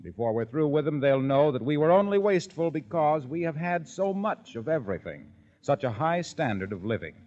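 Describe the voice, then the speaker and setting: An earnest, polished male announcer from 1940s radio with a fairly high, lively voice. The broadcast sounds dull and old, with faint music. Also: fairly quick, few pauses.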